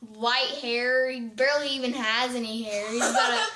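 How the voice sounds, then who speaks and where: A woman's voice: a little high, fairly even intonation, a little slow, background noise. A woman telling a story in casual chat, rambling and saying "like" a lot. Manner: rambling, leans heavily on "like". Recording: roomy echo.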